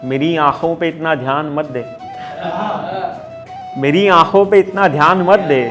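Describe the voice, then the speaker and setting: A poet at an open mic reciting a ghazal, declaiming each couplet and repeating lines with rising emphasis, with an instrument playing along behind him. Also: male voice, on the high side, a little slow, over music. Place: open mic stage in Mumbai. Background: musical instrument playing along.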